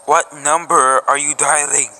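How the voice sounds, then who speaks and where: A man playing a businesslike, formal telephone voice in a radio thriller, speaking in a clipped, measured way. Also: on the high side, fairly even intonation, pushed and bright, full character voice, low-quality recording. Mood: polite, formal. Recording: faint noise with clicks.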